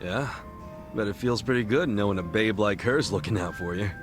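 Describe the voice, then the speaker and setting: An actor playing a brash, warmhearted, loyal street tough in a video game cutscene, blunt and informal. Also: male voice, on the low side, normal speed, music in the background, natural voice. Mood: teasing, exasperated. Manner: informal, blunt, swaggering, foul-mouthed.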